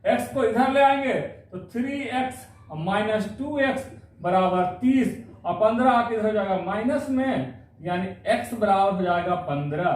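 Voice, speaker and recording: A man's voice: sits high, far from the mic. A man teaching an exam-prep maths lesson, working through each problem step by step and repeating phrases as he goes. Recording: echoey room, voice off-mic.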